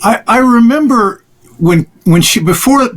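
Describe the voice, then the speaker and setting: A man hosting a casual interview, asking questions in a halting, conversational way. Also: very high voice, lively intonation, fairly bright tone. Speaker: interviewer, show host.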